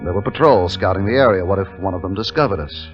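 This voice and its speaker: Low-voiced weary army captain played by a man, old radio. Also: fast talker, natural voice. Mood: tense, grim narration. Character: weary, tense male army captain in wartime desert. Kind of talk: 1950s radio drama acting and first-person narration. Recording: muffled vintage 1950s radio recording, music behind.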